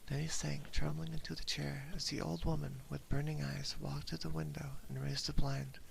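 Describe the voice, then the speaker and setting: A man reading a story aloud in a breathy, partly whispered ASMR style, very close to a binaural microphone. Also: a little high, flat delivery, moderate pace. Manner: hushed, slips and corrects words while reading. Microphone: close binaural ear microphone. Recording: close binaural mic with clear background noise. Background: rain ambience.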